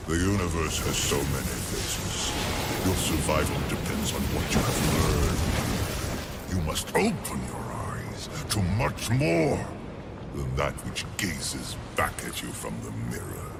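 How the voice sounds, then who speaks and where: Brief: a gravelly immortal game overlord, slow and mocking, wide dramatic sweeps in pitch. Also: male voice, full character voice. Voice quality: deep gravelly. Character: ancient menacing male ruler of a soul realm. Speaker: video game character. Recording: game audio with loud music.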